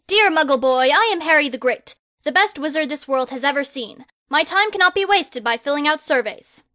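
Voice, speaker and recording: A woman's voice: sits high, animated, moderate pace, sharp tone, no room sound, voice pushed up. A woman voicing a pompous, self-proclaimed boy wizard hero who makes grandiose, bossy proclamations.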